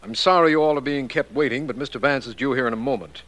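An actor playing a courteous, official district attorney in 1940s radio drama style. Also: male voice, medium pitch, quick pace, over loud music, lightly acted. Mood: courteous, businesslike. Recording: old radio recording with faint noise.

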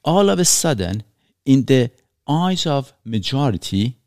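Man from Iran, podcast host, earnest, explains with examples, mid pitch, dry close sound. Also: sing-song, measured pace, a little mellow, dry recording. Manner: earnest, explains with examples, non-native phrasing. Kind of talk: reflective conversational podcast.

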